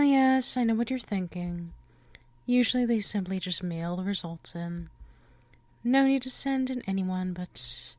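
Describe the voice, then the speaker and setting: Woman performing female vampire for ASMR roleplay: unhurried, dry close sound, coy and politely possessive. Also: mid-range voice, darkish tone, no echo. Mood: polite, reassuring. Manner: coaxing, chatty, teasingly polite. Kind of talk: ASMR roleplay, addressing the listener.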